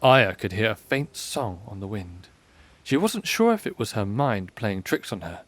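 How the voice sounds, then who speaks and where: A man's voice: fairly low pitch, sing-song, normal speed. A man reading scripted story narration as the narrator of an audio drama.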